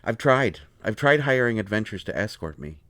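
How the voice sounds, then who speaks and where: Low-voiced, wounded, lovelorn healer played by a man. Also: expressive, fairly bright tone, no echo. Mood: weary, explaining. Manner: impassioned, halting, earnest. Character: proud, wounded, lovelorn male healer with a limp. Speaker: audio roleplay voice actor. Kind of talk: dramatic intimate audio roleplay acting.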